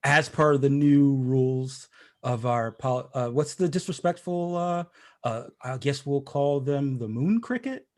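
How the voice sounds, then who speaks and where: A man's voice: medium pitch, a little slow, a little mellow. A man hosting a casual podcast, joking around and rambling through many restarts. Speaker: podcast host. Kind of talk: casual chat.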